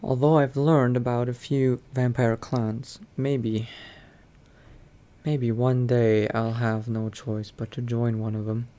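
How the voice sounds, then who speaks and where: A man narrating his video game roleplay in character, thinking aloud with pauses. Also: mid-range voice, level tone, warm tone.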